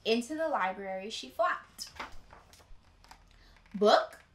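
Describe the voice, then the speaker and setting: A young woman reading a picture book aloud as a teacher to children, with deliberate, emphatic storytelling. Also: a little high, lively intonation, bright, forceful tone, slight background noise. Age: young adult. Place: indoor room with bookshelves. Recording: roomy indoor echo.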